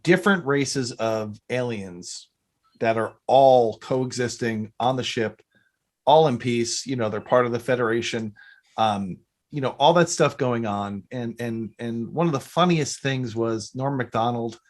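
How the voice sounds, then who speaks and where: A man's voice: medium pitch, a little slow. A man hosting a podcast in casual fan chat, rambling and often cutting into his own sentences. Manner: rambling, self-interrupting. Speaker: podcast host.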